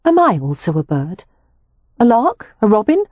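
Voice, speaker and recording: A woman's voice: mid-range voice, big pitch swings, normal speed, darkish tone, no echo, voice pushed up. A woman voicing a young woman who is the amused, lightly teasing older sister. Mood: put out.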